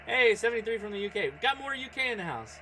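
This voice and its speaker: A man's voice: high voice, sing-song, fast talker, sharp tone, a little hiss or hum, poor audio. A man chatting casually on a livestream as he operates a radio, thinking aloud in a distracted way. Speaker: amateur radio streamer.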